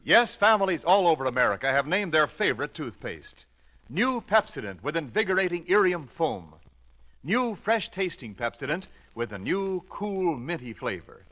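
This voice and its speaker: A man's voice: fairly high pitch, big pitch swings, normal speed. Emphatic radio announcer, man, salesman delivery, lo-fi recording. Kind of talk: 1940s radio commercial announcing. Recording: dull lo-fi old radio recording.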